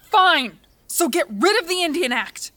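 A woman's voice: very high-pitched, big pitch swings, a little slow, bright, forceful tone, exaggerated voice. An actress playing a blunt, skeptical woman who argues as a taxpayer. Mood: sharp. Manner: argumentative, blunt. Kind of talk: audio drama acting.

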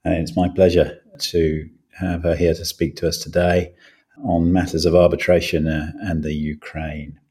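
A man's voice: low-pitched, level tone, moderate pace, a little mellow, husky. A man hosting a law podcast, interviewing a guest with a hesitant, restarting way of asking questions. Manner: hesitant, many restarts and fillers. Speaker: podcast host, law partner.

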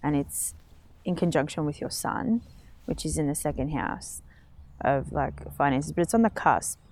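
A woman co-hosting a podcast, chatting in a supportive, encouraging and animated way. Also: low-pitched, normal speed. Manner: encouraging, animated, talks about astrology. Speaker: podcast co-host. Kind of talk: casual supportive chat.

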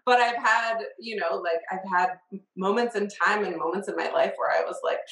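A woman's voice: medium pitch, moderate pace, a bit bright, roomy sound, poor audio. A woman hosting a podcast, chatting casually and telling a personal story in an open, reflective way with easy laughs. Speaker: podcast host. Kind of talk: casual interview chat.

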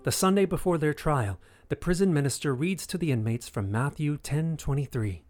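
A man's voice: a little high, lively intonation, moderate pace, a little mellow. A man giving scripted, dramatic narration of history for an audio drama.

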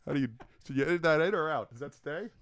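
A man's voice: a little high, racing, fairly bright tone, slight background noise. A man co-hosting a casual podcast chat, rambling and self-mocking as he tells stories. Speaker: podcast co-host and logo designer.

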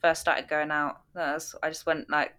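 A woman's voice: low-pitched, normal speed, smooth. A young woman from Coventry, a DJ guest chatting casually on a podcast with lots of ums and easy laughs. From Coventry, England. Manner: relaxed, filler-heavy, laughs easily.

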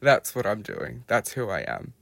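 An Australian man telling his own life story in a reflective, halting way, often pausing to search for words. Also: on the low side, sing-song, vocal fry, fairly bright tone, no echo. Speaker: podcast guest sharing his life story. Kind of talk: personal storytelling monologue.

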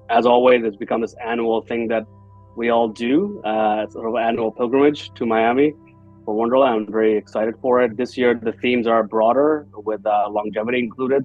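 A man's voice: medium pitch, phone-quality sound. A man in his forties from Bangladesh, a guest entrepreneur talking in an earnest, reflective way, with many fillers. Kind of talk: conversational interview.

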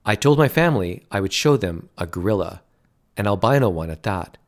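A man voicing an excitable, stubborn middle-aged father who talks insistently and grows indignant. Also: on the low side, a little fast. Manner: insistent, growing loud and indignant.

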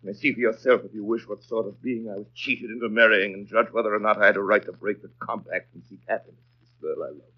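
Actor as brooding gentleman, muffled vintage radio. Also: on the low side, fairly even intonation, fast talker, close to an everyday voice. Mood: bitter, defiant. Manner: theatrical, intense, declamatory. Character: brooding, imperious gentleman, tormented and passionately in love. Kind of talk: 1930s radio drama acting.

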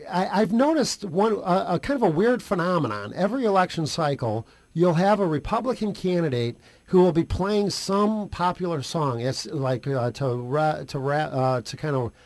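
A hoarse man from the Chicago area hosts a casual radio chat, his voice sitting a little high for a man. He jokes easily but halts often, filling gaps with "uh" and "um". The sound is dry and close. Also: lively intonation, a little mellow, dry recording. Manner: joking, halting with many fillers. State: hoarse from a flu. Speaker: radio talk show host.

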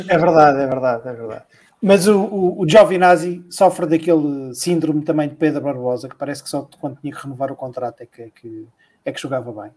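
A man's voice: fairly high pitch, fairly quick, darkish tone. A man co-hosting a casual podcast, rambling and thinking aloud with many repeats. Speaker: podcast co-host. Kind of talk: casual podcast chat about motor racing.

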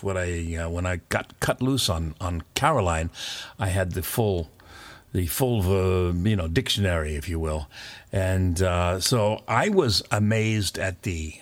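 Older man with a very deep voice telling radio stories. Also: lively intonation, slow. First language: not French; English speaker. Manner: rambling, anecdotal, with fillers and chuckles. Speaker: former pirate radio DJ, guest. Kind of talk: relaxed storytelling interview.

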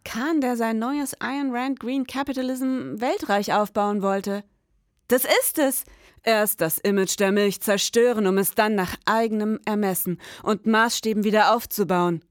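A woman's voice: mid-range voice, steady tone, a little slow, dry recording, clean studio sound, lightly acted. A woman playing a cynical, exasperated detective in a satirical noir podcast drama, sceptical and dramatic. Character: cynical, exasperated female detective sidekick in a parody.

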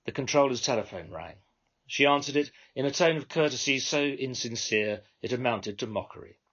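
A British man narrates a literary short story in the first person. His voice is husky and mid-pitched, with a wry, measured delivery. The recording sounds a little dull. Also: animated, fairly bright tone. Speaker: author reading own short story.

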